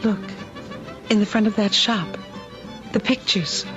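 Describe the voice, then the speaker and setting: An actress playing an anxious, wistful widow in an old-time radio drama, with a hesitant, emotive delivery. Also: female voice, medium pitch, a little slow, lightly acted. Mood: agitated. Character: anxious grieving widow, wistful and stubbornly convinced. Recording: muffled vintage radio recording, music.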